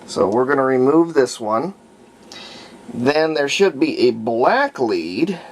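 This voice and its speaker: A man narrating his repair of an old radio in a halting, casual way, thinking aloud as he works. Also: medium pitch, big pitch swings. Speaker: radio restorer.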